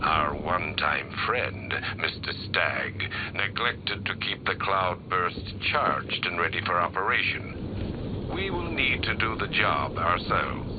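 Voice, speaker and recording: Very deep, echoing supervillain. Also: male voice, very animated, muffled recording, background noise, heavily acted. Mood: cold, commanding. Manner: taunting, deliberate, ominous, drifting into whispers. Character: menacing male masked supervillain, sadistic master of fear. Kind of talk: theatrical video game villain monologue. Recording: thin band-limited audio, long hall reverb, loud music.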